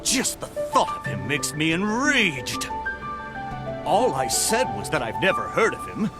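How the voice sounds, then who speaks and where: An irritated adventurer with a high, very lively voice. He declaims his grievances in theatrical game style, self-righteous and exasperated, with music behind. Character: hot-headed, irritated young male adventurer, self-righteous.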